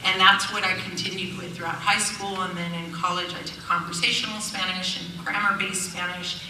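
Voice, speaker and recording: A woman's voice: on the low side, little pitch movement, moderate pace, background noise. A middle-aged woman speaking on a panel as a teacher, earnest, with fillers and restarts. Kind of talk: panel talk. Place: event hall. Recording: echoey hall, far from mic.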